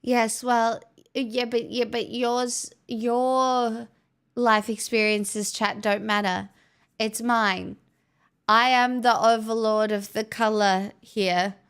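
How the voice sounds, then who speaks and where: A woman's voice: a little high, little pitch movement, slow, a bit bright, clear, resonant tone. A woman hosting a livestream, chatting casually with viewers in a playful, teasing way. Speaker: livestream host.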